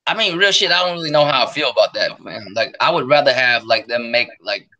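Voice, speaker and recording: A man co-hosting a podcast in casual gaming chat, animated and slangy, joking around. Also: medium pitch, fast talker, highs cut off. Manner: animated, slangy, jokey, mimics voices. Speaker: podcast co-host.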